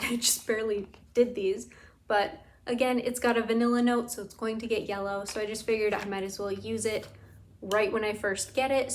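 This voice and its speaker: A woman vlogging in a casual, chatty way about bath products, her voice tired and a bit raspy from talking. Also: mid-range voice, a little hiss or hum. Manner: enthusiastic, gushing, lots of "like". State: tired, slightly raspy throat. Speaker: beauty and scent vlogger. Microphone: camera mic.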